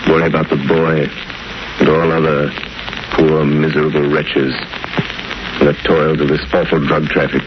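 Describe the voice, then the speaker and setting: Deep-voiced resolute gentleman crime fighter, old radio. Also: flat delivery. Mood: calm, resolute. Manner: measured, self-assured. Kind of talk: 1930s-40s radio drama acting. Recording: noisy, muffled vintage radio recording.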